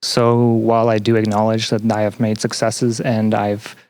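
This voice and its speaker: Young guy on a podcast, lowish voice, thinks out loud, sincere and kinda halting. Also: completely flat delivery, smooth. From Canada. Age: young adult. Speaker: podcast guest; game developer and student. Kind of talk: reflective personal interview.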